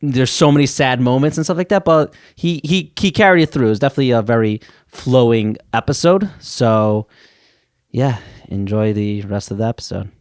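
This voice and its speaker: A man's voice: mid-range voice, moderate pace, smooth. A man hosting a podcast in a casual, conversational way, somewhat hesitant and repeating words as he goes. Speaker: podcast host.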